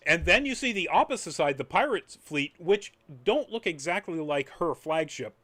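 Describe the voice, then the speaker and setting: A man's voice: on the high side, lively intonation, normal speed, a bit bright. Rambling man chatting casually. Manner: rambling, trailing off into asides. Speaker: podcast host reviewing anime. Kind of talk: casual chat review.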